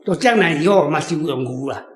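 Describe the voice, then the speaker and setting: Taiwanese male guest author, high voice, roomy sound, casual and anecdotal explaining. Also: expressive, fast, a little hiss or hum. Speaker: author and guest answering questions.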